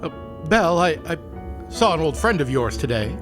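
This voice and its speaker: A man playing a kindly, hesitant husband in a radio play, halting and stammering. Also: a little high, sing-song. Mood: hesitant, pitying. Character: hesitant, kindly husband bringing sad news. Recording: music behind.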